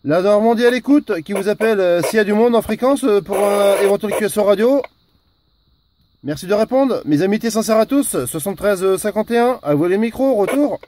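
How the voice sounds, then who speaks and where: High-pitched man hailing on CB radio. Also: lively intonation, darkish tone, slight background noise. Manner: repetitive, halting, formulaic announcing. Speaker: amateur radio operator. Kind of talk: CB radio calling, formal procedural hailing.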